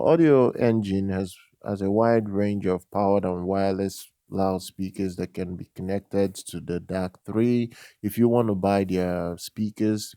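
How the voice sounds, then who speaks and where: A man hosting a tech channel, giving casual product commentary in a halting way, often repeating words and phrases. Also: lowish voice, dark tone, no echo, clean studio sound. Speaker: tech review channel host.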